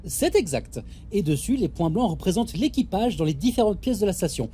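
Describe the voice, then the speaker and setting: Woman as mellow, brisk female space captain. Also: mid-range voice, big pitch swings, slight background noise, natural voice. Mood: instructive, patient. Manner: authoritative, matter-of-fact, dismissive of nonsense. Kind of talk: French audio drama acting.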